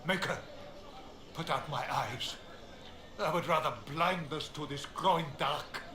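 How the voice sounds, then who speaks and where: A man's voice: fairly high pitch, a little slow, lightly acted. A man playing an outraged, fanatically pious believer in a fantasy video game, declaiming with zeal. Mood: outraged, anguished. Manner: declamatory, zealous. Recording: echoey, noisy ambience.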